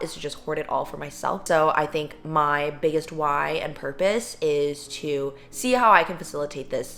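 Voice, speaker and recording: A young woman in her twenties, whose first language was Korean, answering viewer questions in a bubbly, animated vlog. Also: deep voice, a little hiss or hum. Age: 20s. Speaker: vlogger. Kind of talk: casual Q&A vlog.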